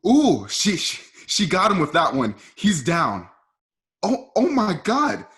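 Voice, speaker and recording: An actor plays a playful, excitable onlooker in a radio drama. The delivery is casual and very animated, swinging widely in pitch. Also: takes it slowly, full character voice. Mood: amazed.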